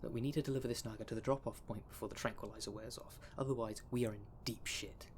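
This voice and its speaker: A man's voice: mid-range voice, fairly even intonation, quick pace, slight background noise, close to an everyday voice. A man playing a tense, menacing poacher in an audio roleplay, threatening and swearing with urgency. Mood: low menace. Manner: threatening, gruffly urgent, cursing. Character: tense, menacing, foul-mouthed male poacher.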